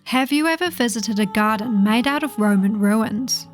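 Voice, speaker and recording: A woman's voice: on the high side, moderate pace. A woman with a British accent, guiding a history tour with scripted narration. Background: light guitar music.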